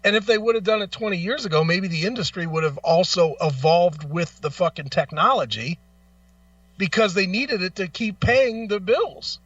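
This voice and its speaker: A man's voice: high voice, moderate pace, fairly bright tone, no echo, distant mic, lo-fi sound. A man hosting a talk show, speaking casually and bluntly with opinions, swearing freely and sounding exasperated and emphatic.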